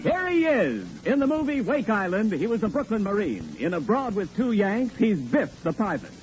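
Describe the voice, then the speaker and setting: A man's voice: high-pitched, very animated, normal speed, highs cut off, faint music behind. A man announcing a scripted 1940s radio broadcast.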